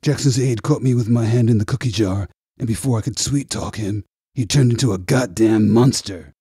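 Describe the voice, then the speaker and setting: A man's voice: on the low side, moderate pace, warm tone, slightly rough, dry recording, studio quality. A man voicing a cocky, wisecracking undercover agent in an audiobook, flippant and a little defensive. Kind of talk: audiobook character voice.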